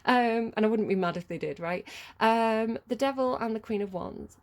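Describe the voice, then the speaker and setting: A woman's voice: on the high side, moderate pace. A British woman giving a casual tarot reading, chatty and rambling, laughing often. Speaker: tarot reader.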